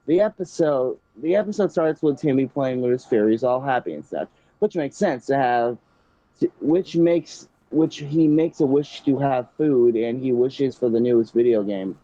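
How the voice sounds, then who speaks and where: Brief: young man reading a story aloud with commentary, mellow voice, dry, dull home recording. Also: medium pitch, expressive, moderate pace, dry recording. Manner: stumbling, restarting read-aloud with laughs.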